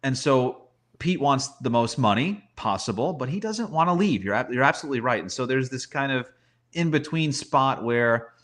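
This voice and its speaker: Guy doing sports analysis as a guest, normal pitch, chatty, talks out made up quotes. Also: lively intonation, moderate pace, a bit bright.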